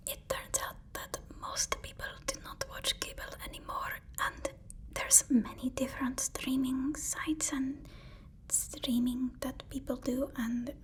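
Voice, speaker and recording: A woman's voice: sits high, level tone, measured pace, voice pushed up, rough recording. A woman playing a devoted, nervous supervillain's personal assistant in an ASMR roleplay, whispering breathily with a deferential, hesitant, soothing manner. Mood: nervous, apologetic.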